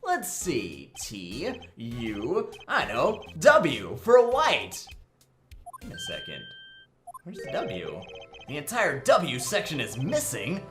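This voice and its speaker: Guy voicing a sarcastic young lawyer dude in a game dub, earnest, pitch goes all over, music behind. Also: wildly swooping pitch, slow, a bit bright, full character voice. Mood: musing. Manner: sarcastic, quippy. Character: wisecracking young defense attorney, earnest and incredulous. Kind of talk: visual novel game fandub acting.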